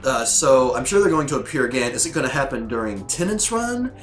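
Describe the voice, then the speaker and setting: A man's voice: medium pitch, big pitch swings, brisk, soft background music. Scratchy-voiced man rambling casually. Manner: loose and chatty, many fillers and restarts. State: scratchy sore throat, losing voice. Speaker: host reviewing episodes. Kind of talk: casual rambling commentary to camera.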